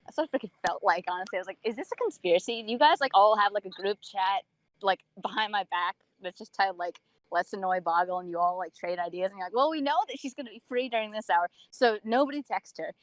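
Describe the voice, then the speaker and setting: Young female co-host, very quick pace, teasing, sardonic, joking, close dry sound. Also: mid-range voice, no room sound, poor audio. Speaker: podcast co-host. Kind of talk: casual chat.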